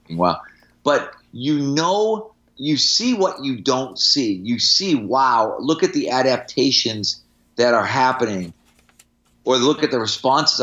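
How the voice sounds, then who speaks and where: A man's voice: medium pitch, a bit bright, dull audio. A middle-aged man, a strength coach, talking casually on a podcast in anecdotes and examples, with firm opinions.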